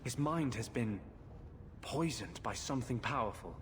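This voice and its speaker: A man's voice: mid-range voice, lively intonation, normal speed, close to an everyday voice. A man playing a grave, troubled healer mage in a video game cutscene. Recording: noisy, long hall reverb.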